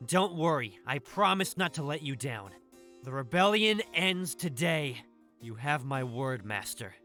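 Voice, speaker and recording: A man's voice: mid-range voice, expressive, unhurried, sharp tone, voice pushed up. A man voicing a confident, vengeful young apprentice warrior in a visual novel. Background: game music.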